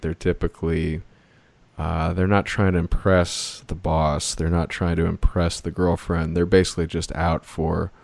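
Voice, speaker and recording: A man with a low, gravelly voice asks curious, meandering questions in a relaxed interview. The sound is dry and close, with no room around it. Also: no room sound. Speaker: podcast host. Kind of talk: casual interview.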